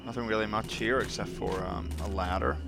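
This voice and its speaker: A man with a Norwegian accent, a native Norwegian speaker, calmly commenting on a stealth game as he plays and reading in-game notes aloud. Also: lowish voice, brisk, gravelly, music in the background. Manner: calm, thoughtful, reads notes aloud plainly. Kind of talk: casual gameplay commentary.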